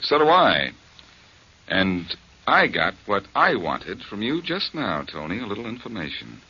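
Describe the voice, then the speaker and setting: A hard-boiled, sardonic detective in a 1940s radio drama. His voice is low and husky yet bright and sharp, and it swings widely in pitch. The sound is muffled, like an old broadcast. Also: huge pitch swings, a little slow, heavily acted. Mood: menacing. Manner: menacing, sardonic. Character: tough, wisecracking male detective.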